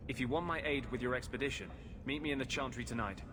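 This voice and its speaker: An actor playing an earnest, brooding runaway warden who defends mages, in measured video game dialogue. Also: male voice, medium pitch, lightly acted. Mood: resolute. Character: weary, defiant runaway warden, protective of mages. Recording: clear background noise behind the voice.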